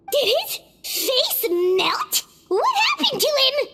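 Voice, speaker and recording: A tiny fairy sidekick from a video game. The voice is extremely high and childlike, bouncing all over the scale. It is excitable and exclamatory. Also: female voice, full character voice. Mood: shocked. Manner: exclamatory, speaks of herself by name.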